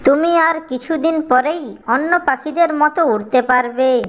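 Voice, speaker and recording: Woman as mother bird, high voice, gentle, caring, instructive, cartoon storybook dialogue. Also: a little slow. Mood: reassuring.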